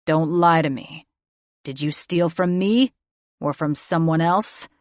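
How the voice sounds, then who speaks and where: A cold, controlling father, as a woman narrator puts him on, his voice pushed very low and hard. The accusations come clipped and menacing in a dry, close audiobook recording. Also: normal speed, no echo, natural voice. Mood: angry, accusing. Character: cold, controlling, furious middle-aged father. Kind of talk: audiobook character voice.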